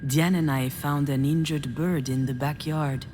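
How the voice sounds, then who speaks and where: A woman's voice: deep voice, steady tone, unhurried, background noise. An actress playing a troubled, self-doubting woman, confiding her thoughts in introspective video game narration. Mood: reflective. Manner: confiding, reflective. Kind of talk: scripted video game voice acting, introspective first-person narration. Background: game music.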